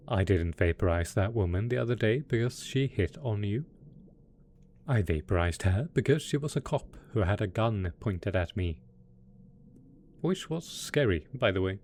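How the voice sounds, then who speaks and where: A man's voice: fairly low pitch, darkish tone. A man voicing a melodramatic, possessive mad scientist supervillain boss in a roleplay audio drama, smug and coaxing in manner. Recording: faint background noise.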